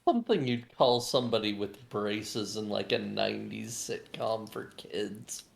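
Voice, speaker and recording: A man chatting casually as co-commentator on a game livestream, with deadpan jokes. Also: lowish voice, measured pace, vocal fry. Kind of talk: casual chat.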